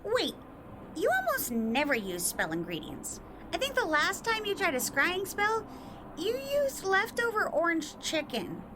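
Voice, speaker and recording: A woman's voice: very high voice, sing-song, measured pace, heavily acted. A woman voicing a perky young female tech pixie who teases and coaxes restlessly, heard over a noisy background hiss. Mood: teasing. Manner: teasing, bubbly and restless.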